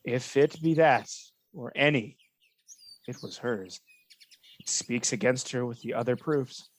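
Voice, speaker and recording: A man playing a scheming, falsely honest soldier in a Shakespearean radio drama, speaking in a measured, insinuating manner. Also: medium pitch, close to an everyday voice. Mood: coolly persuasive. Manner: insinuating, measured, feigned reluctance.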